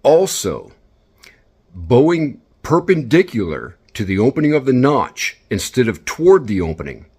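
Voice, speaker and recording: A man's voice: medium pitch, slightly rough. A man giving instructional commentary, speaking directly and encouragingly as he coaches. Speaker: instructor and video host.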